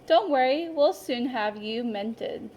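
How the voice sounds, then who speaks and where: A woman's voice: high voice, animated, slow, a little mellow, a little hiss or hum. A woman voicing a fussy, tidy male rabbit who is easily flustered and keeps exclaiming in a storybook reading.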